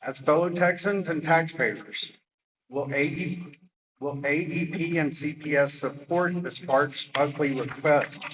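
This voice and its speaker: A man's voice: a little high, fairly bright tone. A man, a landowner, reading pointed, formal questions to utility staff at a public meeting. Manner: formal, pointed, reads prepared remarks. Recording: distant mic with faint noise.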